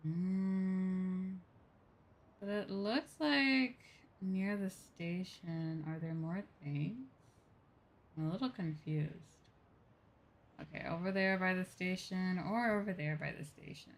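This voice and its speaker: A woman's voice: fairly low pitch, slow, long pauses. A woman doing casual gameplay commentary, musing aloud to herself and often apologetic. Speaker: streamer playing a video game. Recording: faint game music behind. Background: video game music.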